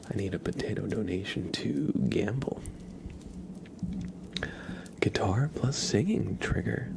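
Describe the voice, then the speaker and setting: A man hosting an ASMR livestream, chatting in a hushed, unhurried, soft-spoken whisper close to the microphone. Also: on the low side, gravelly.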